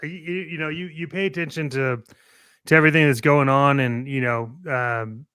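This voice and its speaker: A man with a clean, resonant voice of medium pitch co-hosts an informal show. His delivery is conversational and halting, with words repeated as he works toward a point. It is recorded dry. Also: steady tone, sharp tone, clear, resonant tone, dry recording. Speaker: show co-host. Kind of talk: casual chat about toy collecting.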